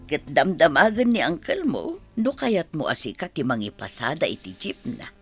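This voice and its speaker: An older mother, practical and caring, speaks in a lowered pitch. The delivery is radio drama acting aimed at a grown son. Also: big pitch swings, moderate pace, faint music behind.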